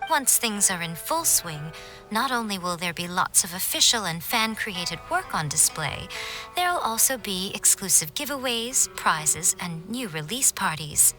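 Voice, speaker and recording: A woman playing a sly, persuasive, teasing festival organizer in a video game, speaking in a measured and eloquent way. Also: mid-range voice, lightly acted, poor audio. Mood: playful, assured.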